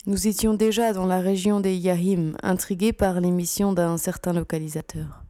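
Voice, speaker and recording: A woman playing a composed, serious security officer with a deliberate, sober manner in a science fiction audio drama. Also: on the low side, fairly even intonation, dark tone, no echo, clean studio sound, lightly acted. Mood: grave.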